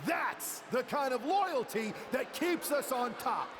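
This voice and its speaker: Boastful parody wrestler, game promo: theatrical, mocking, very high, slow delivery. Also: male voice, lively intonation, exaggerated voice. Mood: pompous. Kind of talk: shouted wrestling promo acting. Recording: noisy arena ambience.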